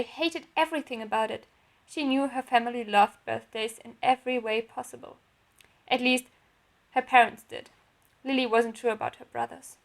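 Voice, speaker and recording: A woman reading her own written story aloud as a narrator, in an audiobook style. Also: on the high side.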